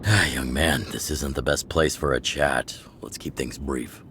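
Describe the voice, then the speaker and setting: A man playing a gruff but kindly older underground leader in a video game, with a gravelly voice and an unhurried, avuncular manner. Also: extremely low pitch, fairly even intonation, background noise. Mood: calm, reassuring. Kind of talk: video game story dialogue. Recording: clear music under the voice.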